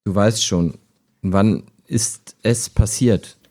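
A mellow, low-pitched male chatbot, acted by a man, wistful and skeptical. He talks like an ordinary human in an intimate radio play, understated and a little hesitant. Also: takes it slowly. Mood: pensive.

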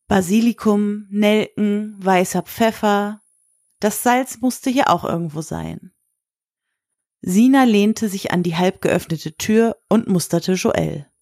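Measured woman narrator, very dry sound. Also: medium pitch, a little mellow, dry recording. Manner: measured, literary reading. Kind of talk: scripted story narration.

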